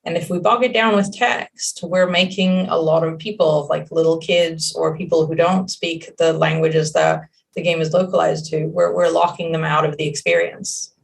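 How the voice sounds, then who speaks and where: A woman's voice: low-pitched, fairly even intonation, moderate pace, vocal fry, distant mic. A young woman whose first language is Hebrew, answering interview questions casually, rambling with lots of fillers and restarts. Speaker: game creative director.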